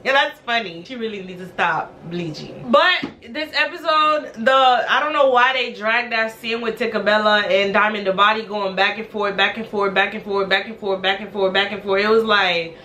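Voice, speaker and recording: Chatty vlogger woman, bright edgy voice, blunt and slangy; slight background noise. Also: medium pitch, moderate pace, hardly any pauses. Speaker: recap vlogger. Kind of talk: casual show recap.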